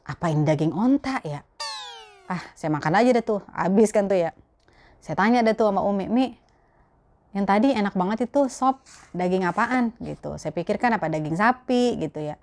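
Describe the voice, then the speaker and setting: A woman's voice: medium pitch, a little mellow. Young Jakarta woman chatting casually. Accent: Betawi Jakarta Indonesian. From Jakarta, Indonesia. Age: young adult. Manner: chatty, colloquial. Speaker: vlogger answering viewer questions. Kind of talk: casual chat to camera. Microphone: clip-on lapel mic.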